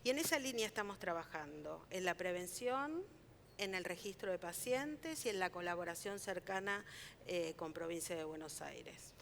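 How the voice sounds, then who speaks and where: Woman official, measured talk. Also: on the low side, expressive, gravelly, fairly bright tone, a little hiss or hum. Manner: measured, official, many "eh" pauses. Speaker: city health official, panelist. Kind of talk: panel talk at a forum.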